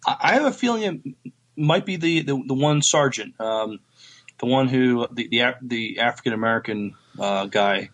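A man's voice: medium pitch, lively intonation, normal speed, fairly bright tone. A man in a casual podcast discussion, talking in a hesitant, thoughtful way as he gives his opinions. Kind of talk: casual TV review chat.

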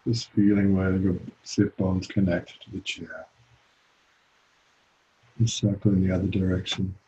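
A man leading a guided meditation as a teacher, speaking calmly and gently with long pauses. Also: low-pitched, muffled recording, a little hiss or hum.

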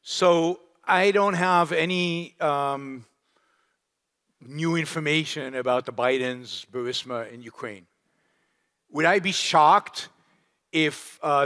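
A man giving a lecture and answering audience questions, animated and emphatic, firing off rhetorical questions. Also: medium pitch. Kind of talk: public lecture Q&A. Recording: long hall reverb.

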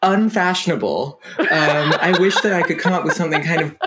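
A man's voice: a little high, a little fast, heavy background noise. A man, a guest in an interview, talking in a hesitant way with many fillers and restarts. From Denmark. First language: Danish. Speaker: guest writer. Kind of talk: casual interview conversation.

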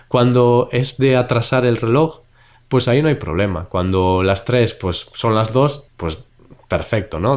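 Male host, congested and nasal, relaxed and chatty. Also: medium pitch. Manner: relaxed, chatty, laughs often. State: stuffy nose from a cold. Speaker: podcast host. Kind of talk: casual podcast chat for Spanish learners. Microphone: recorded over a video call.

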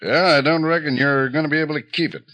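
An actor playing a shrewd, folksy small-town police chief in a 1950s radio drama, with drawling phrases. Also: male voice, fairly high pitch, fairly even intonation, fast, pushed and bright. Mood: wry, suspicious.

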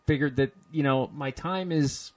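A man's voice: fairly high pitch, a little slow. A man who hosts a radio morning show, chatting with an easygoing, joking manner as a guest in a gym. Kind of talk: casual interview chat. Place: gym, indoors.

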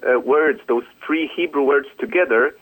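Halting man on the phone. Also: mid-range voice, a bit bright. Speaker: interview guest, ministry worker. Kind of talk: phone interview, explaining. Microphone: telephone. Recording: phone call into radio show.

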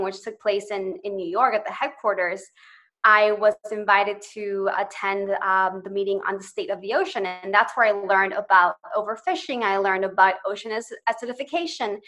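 A woman in her twenties from Ecuador talks as a podcast guest. Her tone is bright and forceful, at a middle pitch. She tells her story earnestly and eagerly, laughing lightly between phrases. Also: steady tone, normal speed. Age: 20s. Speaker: podcast guest, social entrepreneur. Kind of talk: personal storytelling in interview.

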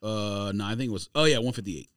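A man's voice: on the low side, quick pace, a bit bright, clean tone, no room sound, studio quality. A man hosting a podcast with casual, teasing, slangy banter and mock-formal announcements.

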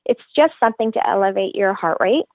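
A woman's voice: medium pitch, animated, a little fast, no room sound. A woman who works as a fitness trainer and author, earnestly and enthusiastically explaining her work in an interview. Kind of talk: interview answers. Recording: tinny phone-like line, dry.

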